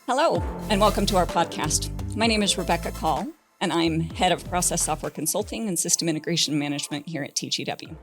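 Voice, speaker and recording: Lower-voiced woman hosting a podcast. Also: a little fast, hardly any pauses, music in the background. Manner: relaxed, conversational, a bit anecdotal. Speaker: podcast host. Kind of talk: hosted podcast interview.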